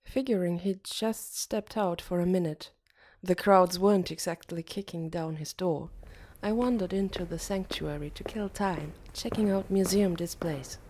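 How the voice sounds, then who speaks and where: A woman's voice: fairly low pitch, normal speed, a little mellow. A woman reading a first-person novel as an audiobook narrator.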